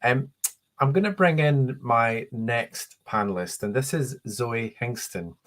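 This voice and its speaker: A man's voice: mid-range voice, a bit bright. A man hosting an online panel, thanking speakers and handing over with a few ums. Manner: friendly, brisk handovers with ums. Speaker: panel host.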